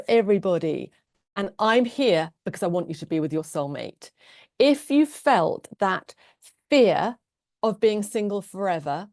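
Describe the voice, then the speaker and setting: A woman with a British accent, Norwegian her first language, teaching a live online love-coaching class in an earnest, gentle way. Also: mid-range voice, dry recording, studio quality. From England.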